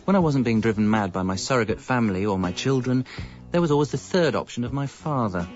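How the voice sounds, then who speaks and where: A man's voice: mid-range voice, expressive, fast talker, darkish tone, muffled recording, faint music behind, close to an everyday voice. An actor playing a harried husband and father with an English accent, narrating a radio comedy in a wry way, stammering when flustered. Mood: wry, rueful. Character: harried, exasperated husband and father telling his story. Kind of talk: radio comedy first-person narration and dialogue.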